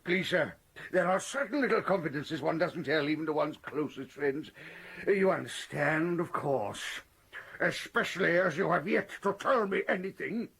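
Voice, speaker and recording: An actor playing a jovial, verbose professor turned crime lord in a period radio drama, florid and courteous with a menacing edge. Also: male voice, a little high, expressive, normal speed, creaky, a bit bright, breathy edge, heavily acted. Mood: smoothly menacing.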